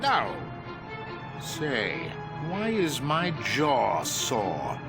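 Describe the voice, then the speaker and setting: Theatrical male mad scientist for a game, bewildered and pompous, mid pitch, hugely expressive. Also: extremely slow, over music, heavily acted. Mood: confused, indignant. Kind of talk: video game voice acting.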